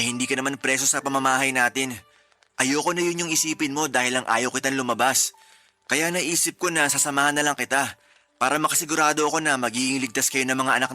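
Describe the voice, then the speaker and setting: A man playing a protective, jealous young husband in a radio drama, speaking calmly and gently persuasively. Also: mid-range voice, natural voice.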